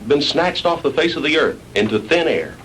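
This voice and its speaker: An actor playing a folksy searcher giving an emphatic, puzzled report in a 1960s B-movie. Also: male voice, fairly high pitch, expressive, normal speed, fairly bright tone. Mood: puzzled, animated. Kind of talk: 1960s B-movie dialogue acting. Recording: noisy 1960s film soundtrack.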